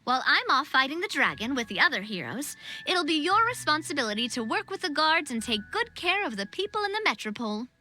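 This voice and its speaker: A woman's voice: high voice, very animated, brisk, few pauses, pushed and bright, slight background noise, heavily acted. A woman playing a confident, kind young queenly leader in a video game, with a theatrical, upbeat delivery. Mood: playful, assured. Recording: music under the voice.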